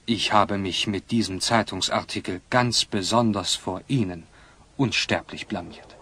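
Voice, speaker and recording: A man's voice: on the low side, unhurried, pushed and bright, a little hiss or hum. An actor playing a dignified, contrite middle-aged magnate and father in an audio drama, speaking formally and grandly. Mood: contrite, solemn. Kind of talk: German audio drama acting.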